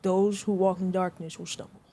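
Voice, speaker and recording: Calm concise podcast co-host, mellow tone, mid pitch. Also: brisk. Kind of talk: casual faith-centered chat.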